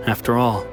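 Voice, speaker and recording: An actor playing a humbled, wistful male runaway with a hesitant, reflective manner in a video game. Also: on the low side. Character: wistful runaway man returned home, humbled and regretful. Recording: orchestral game music behind. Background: game music.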